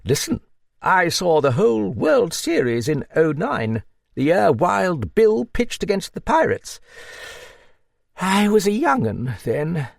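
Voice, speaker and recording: A man doing a gruff, hearty old woman. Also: high voice, huge pitch swings, slow, no echo, studio quality, voice pushed up, full character voice. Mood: blustery. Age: older. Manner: blustery, hearty, muttering asides. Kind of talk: audiobook character voice.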